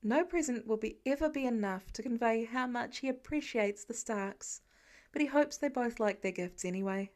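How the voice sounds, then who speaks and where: A woman's voice: fairly high pitch, normal speed, a little mellow. A woman reading fiction aloud as an amateur audiobook narrator, in scripted storytelling style.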